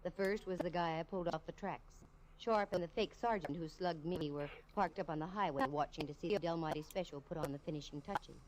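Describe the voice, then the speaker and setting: A woman's voice: low voice, a little fast, close to an everyday voice. A woman playing a wisecracking private detective, narrating in breezy, slangy 1950s radio-drama style. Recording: noisy old radio recording.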